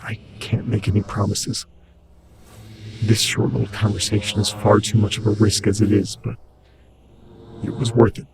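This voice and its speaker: A man plays a low, gravelly supernatural ally in an audio drama, with clear noise around the voice. He is stern yet caring, and urges the listener on with earnest authority. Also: little pitch movement, moderate pace, long pauses. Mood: quietly earnest. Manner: emphatic, earnest, commanding. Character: male mysterious entity ally, urgent, stern yet caring. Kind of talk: audio fiction drama acting.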